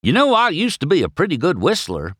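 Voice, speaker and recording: A man's voice: on the high side, wildly swooping pitch, fairly quick, a bit bright, slightly rough, dry recording, studio quality, voice pushed up, heavily acted. A man voicing a friendly, boastful, simple tow truck in a children's story, with a folksy, rambling manner. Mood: cheerful, boastful.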